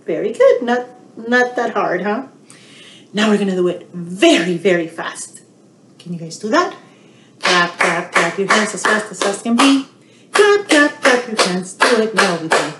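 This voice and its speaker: A middle-aged woman leads a children's storytime in a roomy, echoey space. Her pitch swings in a lively way and she takes her time, talking straight to the little ones. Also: unhurried, background noise. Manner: animated, addressing little children directly. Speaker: children's librarian hosting storytime. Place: small indoor room. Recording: roomy, echoey home webcam sound.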